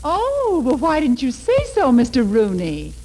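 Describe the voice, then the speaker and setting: High, swooping telephone operator. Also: female voice, wildly swooping pitch, a little slow, loud music behind the voice, heavily acted. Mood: dim, nosy. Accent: American. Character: dim, nosy studio switchboard operator woman. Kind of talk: 1940s radio comedy acting.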